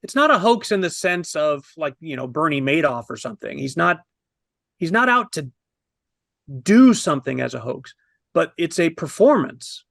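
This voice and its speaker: A man in his fifties with a fairly high, creaky voice talks casually on a panel. He thinks aloud and keeps refining his points. The recording is dry. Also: very animated, moderate pace, fairly bright tone, no echo. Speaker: film review panelist. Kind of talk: casual discussion. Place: indoors on a video call.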